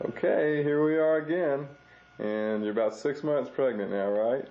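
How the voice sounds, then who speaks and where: Easygoing young dad narrating behind a camcorder, muffled VHS tape sound. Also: male voice, medium pitch, normal speed. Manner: chatty, narrates while filming. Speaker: father filming home video. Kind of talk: casual home video narration. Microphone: camcorder mic.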